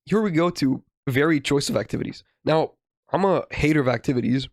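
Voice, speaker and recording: A young man co-hosting a podcast, reasoning aloud in a casual, halting philosophical discussion. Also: on the high side, expressive, no echo, clean studio sound. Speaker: podcast co-host.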